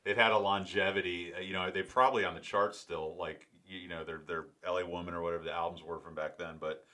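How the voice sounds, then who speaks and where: A middle-aged man from Florida with a deep voice, hosting a casual interview and rambling through his questions with many restarts. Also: little pitch movement, fast talker, sharp tone. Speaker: podcast host.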